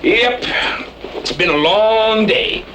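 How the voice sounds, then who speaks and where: An actor playing a joking, evasive outlaw cowboy with a folksy, sardonic manner, in an old radio western. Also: male voice, high-pitched, slow pace. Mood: mock innocence. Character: cocky, joking outlaw cattle rustler, cowboy drawl. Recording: noisy old radio drama recording.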